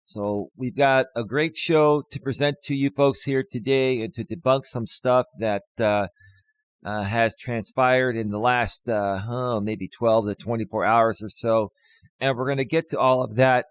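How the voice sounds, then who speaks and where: A man hosting his own live stream as a life coach, talking casually to viewers with a slightly hoarse voice and lots of fillers. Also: medium pitch, steady tone, unhurried, no room sound. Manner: rambling, many fillers, talks straight to viewers.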